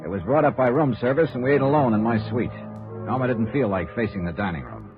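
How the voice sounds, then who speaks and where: An actor playing a tough, wry insurance investigator in 1950s radio noir, clipped and dry. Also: male voice, mid-range voice, big pitch swings, fast. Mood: brooding narration. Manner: clipped, hard-boiled, dry. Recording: dull vintage radio recording, faint music.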